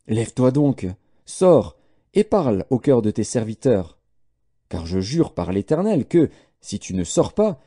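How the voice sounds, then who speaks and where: A man's voice: on the high side, dark tone, slightly rough, voice pushed up, heavily acted. A man voicing a stern army commander who rebukes his king in reproachful oratory.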